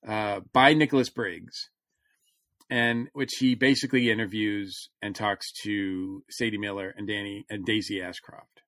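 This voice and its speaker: Man, halting delivery, dry close sound. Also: lowish voice, fairly even intonation, a bit bright, no room sound. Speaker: podcast co-host. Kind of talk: casual chat about fandom news.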